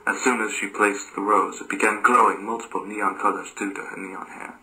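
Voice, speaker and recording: A man's voice: fairly low pitch, level tone, brisk, bright, forceful tone, poor audio. A man reading a scripted story as narrator, occasionally stumbling over the words.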